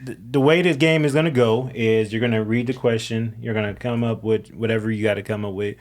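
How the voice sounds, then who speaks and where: Brief: relaxed male host, jokey, casual cursing, runs the panel. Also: on the low side, brisk. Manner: joking, laid-back, swears casually. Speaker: podcast host. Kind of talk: casual group chat.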